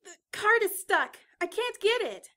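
Anxious young female sidekick, actress, very high, piercing, swooping delivery. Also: very animated, measured pace, bright, forceful tone, full character voice. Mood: panicked. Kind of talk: audio drama acting.

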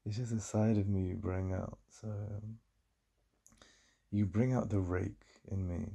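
A British man playing a besotted, yearning boyfriend in an intimate ASMR roleplay, confiding in a breathy murmur. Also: steady tone, slow pace, long pauses, warm tone, exaggerated voice. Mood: desirous. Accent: British English. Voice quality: deep, breathy, gravelly.

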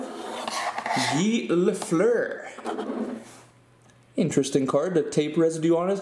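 A man's voice: a little high, a little hiss or hum. Upbeat man in an echoey room. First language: English. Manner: chatty, upbeat, with fillers and excited exclamations. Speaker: host of a card-opening channel. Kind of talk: casual hobby commentary. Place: indoor room at a tabletop.

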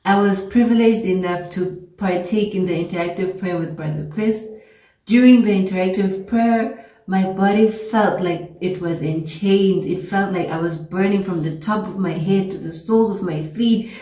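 A woman's voice: medium pitch, moderate pace, warm tone. Namibian woman heard from across a room. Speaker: prayer testimony giver. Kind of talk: personal testimony. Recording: distant echoey room.

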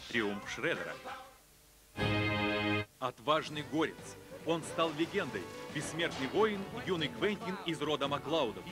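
A man reading scripted promotional narration for cartoon trailers in an enthusiastic, dramatic announcer style. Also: a little high. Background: music.

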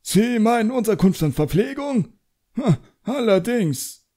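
Audiobook role: dismissive male wizard master, played by the narrator, awkward, pitched up, gruff. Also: expressive, unhurried, mellow. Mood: uneasy, scoffing.

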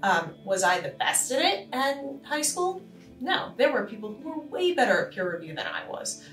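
A woman's voice: high voice, normal speed. A woman from Boston, co-hosting a casual podcast panel and telling stories with lots of laughter. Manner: animated, laughing storyteller. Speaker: podcast co-host. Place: conference room around a table. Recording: roomy, distant with faint music.

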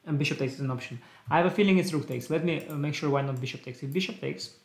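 A young man with a slight Russian-tinged accent talks through ideas in short clipped bursts, his fairly high voice carrying a gravelly edge. He sounds like a casual live streamer in a small room, and the room's echo comes through his webcam-distance mic. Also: racing. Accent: Russian-tinged English. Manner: thinks aloud in short clipped phrases. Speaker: chess streamer. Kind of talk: casual live-stream chat while solving puzzles.

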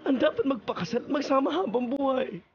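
A woman's voice: high-pitched, animated, brisk. A woman acting a desperate, lovesick young male classmate in a radio drama, earnest and pleading. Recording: lo-fi radio broadcast with faint noise.

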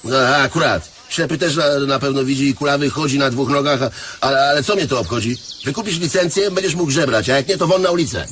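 A male actor plays an officious, money-minded leaseholder in a radio drama. His mid-pitched voice is dismissive and stumbles into repeats, with faint noise behind. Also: quick pace, few pauses, fairly bright tone, lightly acted. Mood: officious, greedy. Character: bossy, greedy male leaseholder of a cemetery. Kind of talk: Polish radio play acting.

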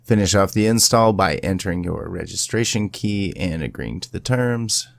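A man presenting a casual step-by-step software tutorial in an informal, instructional way. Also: low voice, lively intonation, moderate pace, vocal fry, dry recording, studio quality.

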